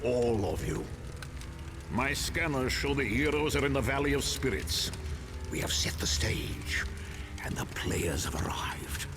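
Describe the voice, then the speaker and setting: An actor playing an imperious, cold mastermind in a video game, commanding, grandiose and menacing. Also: male voice, mid-range voice, very animated, unhurried, over music. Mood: commanding, ominous. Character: imperious, cold male supervillain mastermind, a doctor. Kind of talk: video game villain acting.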